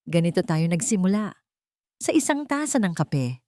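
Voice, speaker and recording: A woman's voice: on the low side, very animated, normal speed, warm tone, no room sound, clean studio sound. A woman voicing a devoted, remorseful husband and young father in an earnest, tender, emotional way. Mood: tender, pleading.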